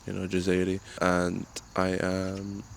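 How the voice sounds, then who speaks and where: A man's voice: sits low, slow, creaky, slight background noise. A man, chatting casually through a Q and A about his writing. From Ireland. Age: 20s. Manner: relaxed, joking, chatty. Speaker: writer answering fan questions. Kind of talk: casual Q and A vlog.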